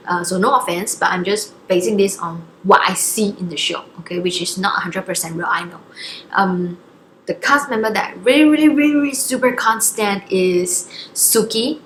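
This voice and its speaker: A woman's voice: medium pitch, reverberant, slight background noise, rough recording. A woman from Singapore, chatting casually to camera with many fillers and self-corrections. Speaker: vlogger.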